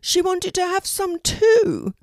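A woman's voice: very high-pitched, slow pace, creaky, a little mellow, no echo, studio quality, voice pushed up, exaggerated voice. A woman voicing an earnest, protective female raven who explains things in a children's storybook. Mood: explaining. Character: chatty, protective female raven defending her friend.

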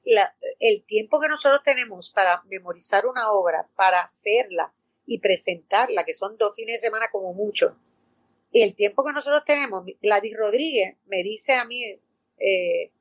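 A woman's voice: medium pitch, normal speed, no echo. A Puerto Rican woman, an actress, telling stories in an interview with lots of fillers and restarts. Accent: Puerto Rican Spanish. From Puerto Rico. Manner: animated storytelling with many fillers and restarts. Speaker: actress guest. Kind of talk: conversational interview.

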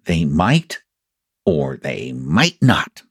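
A man's voice: rumbling low, big pitch swings, extremely slow, husky, dry recording, studio quality, voice pushed down, heavily acted. A man voicing a small, earnest toy bear from a children's storybook, ponderous and solemn as he schemes. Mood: thoughtful, solemn.

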